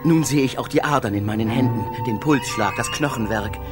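An actor playing an awestruck traveller in space, a dreamy and proud poet-philosopher, declaiming in a literary German radio drama. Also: male voice, medium pitch, fairly quick, music in the background, lightly acted. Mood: musing wonder. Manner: declamatory, rapturous, theatrical.

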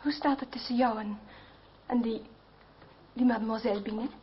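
Lady doing a tender impulsive young noblewoman, radio play, really high voice, emotional, muffled crackly recording. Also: steady tone, moderate pace, close to an everyday voice. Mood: earnest. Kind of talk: Dutch radio play acting. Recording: dull, very noisy old radio recording.

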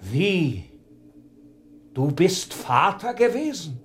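A man acting a composed nobleman who addresses a spirit in a radio drama, earnest, formal and measured. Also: on the high side, sing-song, slight background noise, heavily acted. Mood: earnest, moved. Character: composed nobleman questioning his dead friend's ghost.

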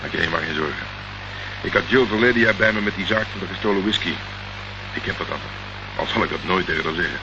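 Deep-voiced sardonic private eye. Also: male voice, fast talker. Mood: matter-of-fact. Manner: sarcastic, deadpan. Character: dry, sardonic male private investigator, unruffled under questioning. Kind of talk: Dutch radio play acting. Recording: muffled, noisy old radio recording.

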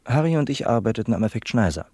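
A man's voice: mid-range voice, lively intonation, fast talker, a little mellow, breathy edge, no echo, clean studio sound. An actor playing an earnest, literal-minded young male office apprentice in a satirical radio play, matter-of-fact and officious. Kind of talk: satirical radio drama acting as an interviewee.

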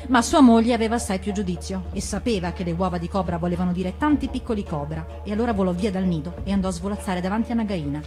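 A woman's voice: mid-range voice, quick pace, hardly any pauses, darkish tone. Female storyteller, dramatized fairy-tale narration, mid-range voice, light music underneath. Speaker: actress reading a fairy tale.

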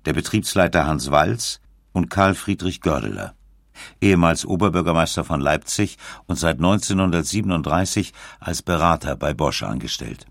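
A man narrating a scripted documentary in a sober, measured way. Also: low voice, gravelly, dry recording, studio quality.

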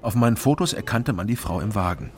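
An actor playing a dry, matter-of-fact male East Berlin private detective in a radio crime drama, with a gravelly voice. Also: on the low side, lively intonation, fast, close to an everyday voice. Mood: terse, matter-of-fact. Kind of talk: radio crime drama, first-person narration and dialogue.

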